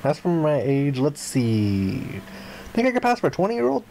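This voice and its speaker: A man voicing a flippant, grandiose young amnesiac castaway, reading visual novel dialogue aloud in an expressive way. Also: fairly high pitch, moderate pace, gravelly, a crowd in the background, full character voice, rough recording. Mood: breezy, joking. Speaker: video game player reading aloud. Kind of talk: dramatic read-aloud of visual novel text.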